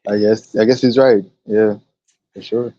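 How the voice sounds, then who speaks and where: A man's voice: lowish voice, level tone, unhurried, no room sound. A man co-hosting a podcast in casual chat, laid-back and hesitant with many fillers, on a dull lo-fi recording. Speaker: podcast co-host. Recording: dull lo-fi sound.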